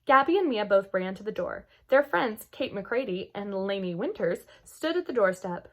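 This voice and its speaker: A woman reading a children's chapter book aloud as an expressive storyteller. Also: medium pitch, moderate pace, lo-fi sound.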